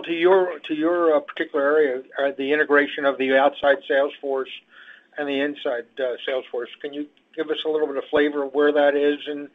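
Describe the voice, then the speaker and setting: A man calling in as an analyst, asking polite questions on an earnings call. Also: on the high side. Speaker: analyst caller. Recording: tinny phone line.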